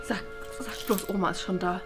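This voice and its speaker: A woman's voice: mid-range voice, steady tone, moderate pace, over music, close to an everyday voice. A woman playing a harried, exasperated mother who mutters and complains to herself in a family sketch. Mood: exasperated muttering. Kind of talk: scripted family sketch for children. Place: indoors, moving through rooms.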